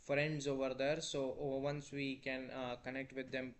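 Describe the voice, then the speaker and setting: Hesitant young Indian man, flat voice. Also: mid-range voice, a little slow. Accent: Indian English. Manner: hesitant, many fillers and restarts. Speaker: vlogger. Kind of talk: unscripted app walkthrough. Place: small indoor room.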